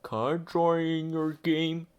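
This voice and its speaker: A man's voice: fairly high pitch, flat delivery, takes it slowly, clear, resonant tone, pitched above the performer's own voice. A hopeful young giraffe from a storybook, acted out by a man. He sounds eager and lonely, asking politely in a raised, pleading voice.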